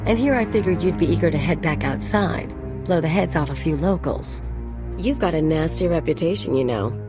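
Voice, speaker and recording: A woman's voice: deep voice, lively intonation, moderate pace, music in the background. An actress playing a dangerous, flirtatious female mercenary in a video game, teasing with sly menace. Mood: mocking, flirtatious.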